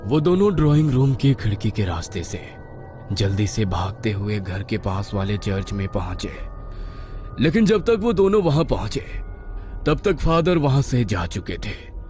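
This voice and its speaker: A man's voice: lowish voice, very animated, a little slow, a little mellow. A man reading a scripted horror story as a dramatic narrator. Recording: music behind the voice.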